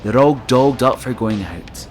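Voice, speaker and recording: A man's voice: mid-range voice, animated, moderate pace, a little mellow. A man narrating an audio drama, reading out scene directions in a scripted style. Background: music.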